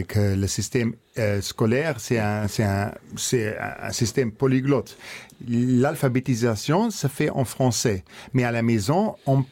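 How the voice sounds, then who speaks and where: A man hosting a radio interview, asking his guests curious questions with hesitant pauses and fillers. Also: mid-range voice, a little mellow. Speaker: radio host. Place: radio studio.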